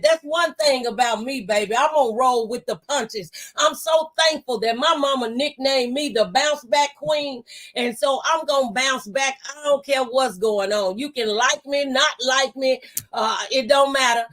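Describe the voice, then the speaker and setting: High-voiced woman giving emphatic faith encouragement. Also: moderate pace, fairly bright tone. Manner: emphatic, exhorting, repeats phrases for effect. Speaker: talk show panelist giving testimony. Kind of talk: motivational faith testimony, preaching conversationally.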